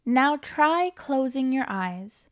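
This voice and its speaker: A woman reading a children's book aloud as a storytime host, in an expressive, playful manner with questions to the listener and dramatic pauses. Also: sits high. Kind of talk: animated children's read-aloud.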